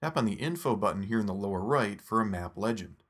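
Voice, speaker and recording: A man narrating a scripted phone app tutorial in a steady, instructive step-by-step voice. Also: lowish voice, fast.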